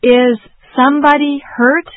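Slow, careful woman repeating phrases. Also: sits high, flat delivery, no room sound. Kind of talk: slow repetition drill. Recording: dull dry lo-fi audio.

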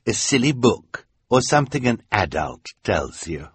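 A man's voice: on the low side, very animated, a little slow, breathy edge, no echo, heavily acted. A man voicing a sly, sinister villain who coaxes with a sickly sweetness in a storybook. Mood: coaxing.

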